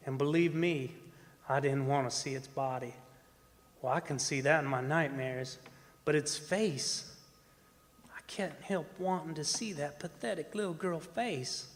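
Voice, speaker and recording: An actor playing a haunted, obsessed older oil driller, telling a horror tale in a dramatic, halting, anguished way in a stage radio play. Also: male voice, on the high side, close to an everyday voice. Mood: haunted longing. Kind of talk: old-time radio horror monologue performed on stage. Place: performing arts center stage. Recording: reverberant stage hall with noise.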